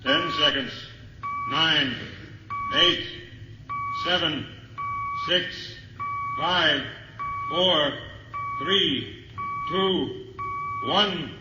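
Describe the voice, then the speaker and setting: An actor playing a military announcer giving clipped, formal countdowns over a loudspeaker in a 1950s radio drama. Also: male voice, fairly high pitch, very slow, long pauses, reverberant, muffled recording, loud music behind the voice. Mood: urgent.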